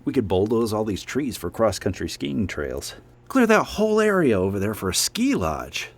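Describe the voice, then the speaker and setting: An actor playing a cocky, casual and slick vacationer, a dismissive schemer, in a horror audio drama. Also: male voice, lowish voice, sing-song, normal speed. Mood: scheming, smug. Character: cocky, skeptical male vacationer and scheming developer.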